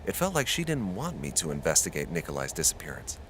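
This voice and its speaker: An actor with an American accent playing an idealistic, dogged radio journalist in a radio play, earnest and impassioned. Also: male voice, low-pitched, wildly swooping pitch, fast, noisy background. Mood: brooding narration. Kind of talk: radio play acting and first-person narration.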